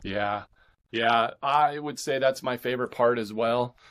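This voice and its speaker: A man speaking as an author guest in a casual interview, with halting, thoughtful phrasing. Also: mid-range voice, a bit bright.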